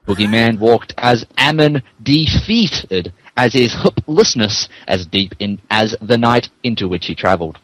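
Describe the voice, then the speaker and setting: A man's voice: on the low side, animated, measured pace, hardly any pauses, a bit bright, no echo, poor audio. A man hosting a podcast and narrating a story, joking and mock-earnest, shifting between casual chat and dramatic reading.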